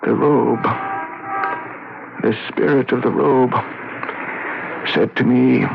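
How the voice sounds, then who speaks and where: An actor playing a tormented, weary man who claims to be possessed, speaking haltingly in a 1970s radio drama. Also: mid-range voice, fairly even intonation, vocal fry. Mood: haunted, reverent. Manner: halting, weary, pausing mid-sentence. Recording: muffled noisy vintage radio broadcast.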